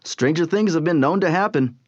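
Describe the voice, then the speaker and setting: A man's voice: a little high, lively intonation, fairly quick, slightly rough, dry recording, muffled recording. An actor playing a brave, studious teenage boy who talks in an earnest, reassuring way. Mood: wondering.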